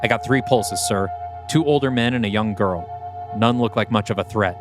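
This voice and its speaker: A man's voice: on the low side, moderate pace, music in the background, lightly acted. A man voicing a clipped, businesslike male military operative in an audio play. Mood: terse, cold.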